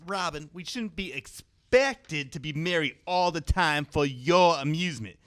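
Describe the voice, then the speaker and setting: A man's voice: on the high side, animated, a little slow, a bit bright. A man playing an indignant, confrontational member of an outlaw band in a comic radio play. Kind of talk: radio-play comedy acting.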